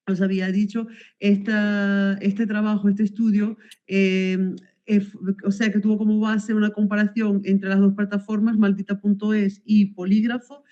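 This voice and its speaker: A woman's voice: mid-range voice, dark tone, dull audio. A woman from Venezuela, presenting research results at an academic conference over a video call and hesitating with many fillers and self-corrections.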